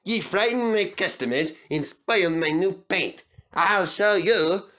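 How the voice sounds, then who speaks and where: A man doing a cross, forceful storybook barber. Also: sits high, slow pace, pitched above the performer's own voice, heavily acted. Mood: indignant. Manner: crotchety, emphatic. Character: cross, fussy male barber, indignant and blunt. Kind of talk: storybook fandub character voice.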